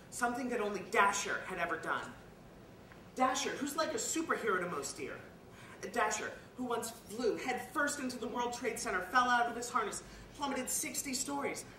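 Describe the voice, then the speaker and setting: A woman playing a bitter, guilt-ridden, washed-up reindeer parent in a confessional dramatic stage monologue that swings between hushed and loud. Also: fairly high pitch. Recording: echoey, distant, noisy live room recording.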